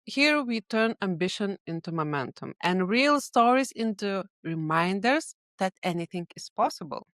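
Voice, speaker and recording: A woman's voice: medium pitch, dry recording. Woman, podcast host, effusive, searches for words, dry recording. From Lithuania. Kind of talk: podcast interview.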